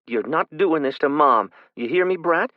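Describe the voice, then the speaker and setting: A man voicing a furious, bossy teenage girl who yells and scolds her little sister. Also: high-pitched, huge pitch swings, extremely slow, dry recording, muffled recording, pitched above the performer's own voice, heavily acted. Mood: scolding. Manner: yelling, cussing, scolding.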